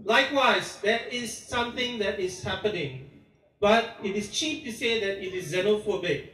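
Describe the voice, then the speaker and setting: A man's voice: sits high, normal speed. A Singaporean man giving a rousing speech at an outdoor protest rally, taped from far off. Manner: rousing, declamatory, chuckles between points. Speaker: protest speaker. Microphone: amateur recorder from far off. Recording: distant, echoey, loud crowd noise. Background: large cheering crowd.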